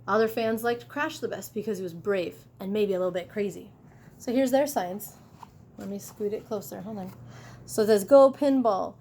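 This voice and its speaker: A woman teacher reading a picture book aloud to children, chatty and animated, with casual asides about the pictures. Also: mid-range voice, normal speed, slightly rough.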